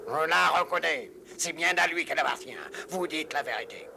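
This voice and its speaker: A man voicing a shrewd, commanding warrior duke in a French animated dub, curt and authoritative, with a gravelly voice. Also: on the high side, normal speed, slight background noise, full character voice. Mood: commanding, measured.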